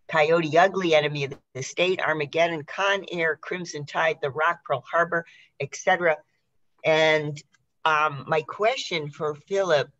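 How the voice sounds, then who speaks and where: A woman's voice: low-pitched, a bit bright, no room sound, highs cut off. A woman hosting a conversational interview in a polite, effusive way, heard over a video call. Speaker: host interviewing a theater cast.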